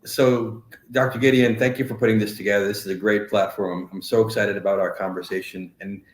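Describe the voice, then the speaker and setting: A man's voice: fairly low pitch, level tone, echoey room. A middle-aged man who grew up in New York, with a New York accent, talking earnestly and with feeling as an interview guest on a video call. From Bronx, New York. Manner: earnest, emotional, rushing phrases. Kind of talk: interview conversation.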